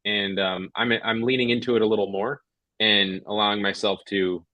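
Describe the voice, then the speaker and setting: Co-host, man from Hawaii, lower voice, dry close sound, reflective and halting. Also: level tone, no echo, lo-fi sound. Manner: reflective, repeats words while thinking aloud. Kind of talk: casual livestream chat.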